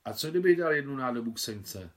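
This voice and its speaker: A man's voice: mid-range voice, animated, voice pushed up, natural voice. A man doing an eager little village boy. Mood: earnest. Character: shy blond young village boy.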